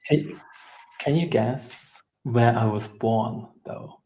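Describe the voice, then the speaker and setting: A man from Russia chatting on a video call, relaxed and hesitant, often trailing off. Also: medium pitch, slow, slight background noise, low-quality recording. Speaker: video chat stranger. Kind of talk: casual video chat.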